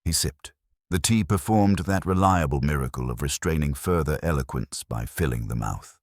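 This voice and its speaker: A man reading scripted mystery fiction as narrator, in a measured, wry, literary manner. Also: extremely low pitch, no room sound, studio quality.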